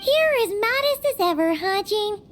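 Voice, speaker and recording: Tiny squeaky game fairy, very high-pitched, excitable and cheeky, lively swings, music behind. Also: female voice, moderate pace. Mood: cheeky, impressed. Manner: squeaky, speaks of herself in third person. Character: small childlike excitable female fairy companion, cheeky. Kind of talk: video game voice acting.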